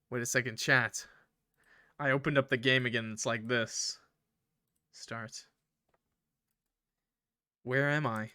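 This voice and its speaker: A man streams a game in a bright, forward voice, recorded dry and close. He talks casually in slang, throwing exclamations at his chat. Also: mid-range voice, no room sound. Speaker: streamer playing a visual novel. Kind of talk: casual gaming stream commentary.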